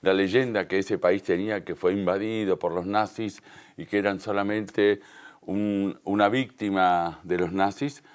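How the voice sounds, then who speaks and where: A man with a fairly deep voice and a Rioplatense Spanish accent speaks as a guest in a television interview. He explains his points conversationally and with emphasis, sometimes rephrasing mid-sentence. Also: fairly even intonation, measured pace, clean tone. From Uruguay and Argentina, Río de la Plata.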